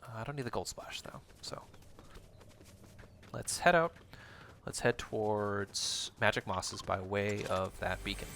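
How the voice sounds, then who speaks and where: A man livestreaming a video game, talking with viewers in a calm, explanatory, educator-like way. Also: fairly low pitch, a little slow, creaky, long pauses, a little hiss or hum. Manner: chats with viewers while narrating play. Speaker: streamer playing a battle royale game. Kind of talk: casual livestream chat while gaming.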